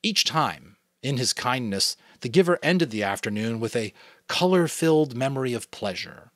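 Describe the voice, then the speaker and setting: A man with a New England American accent giving an expressive dramatic reading of a novel, with chatty asides to listeners. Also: medium pitch, moderate pace, dry recording, studio quality. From New England, United States. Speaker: audiobook reader.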